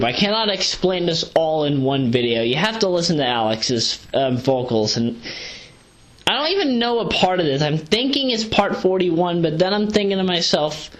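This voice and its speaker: A woman's voice: low-pitched, low-quality recording. A woman giving a casual, rambling audio review, sleep-deprived but hyper, digressing and correcting herself in slangy talk as she paces around. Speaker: video reviewer, vlogger. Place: pacing around while recording.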